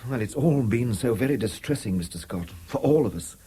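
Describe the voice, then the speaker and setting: Worried English father, mellow, husky, apologetic, halting; vintage radio drama. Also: mid-range voice, expressive. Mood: uneasy, pleading. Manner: hesitant, deferential, repeats words. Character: anxious, apologetic middle-aged family man, timid but persistent. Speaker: actor in a radio play. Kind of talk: 1970s British radio drama acting.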